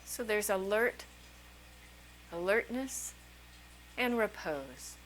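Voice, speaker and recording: A woman leads a guided meditation with a medium-pitched voice over a faint layer of noise. She gives soothing instructions in short phrases, leaving long, unhurried pauses between them. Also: expressive, rough recording. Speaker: meditation teacher.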